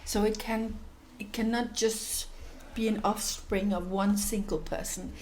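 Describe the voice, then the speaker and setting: A woman's voice: medium pitch, steady tone, measured pace, a little mellow. A woman from Denmark, an NGO executive director, answering interview questions thoughtfully with many fillers and restarts.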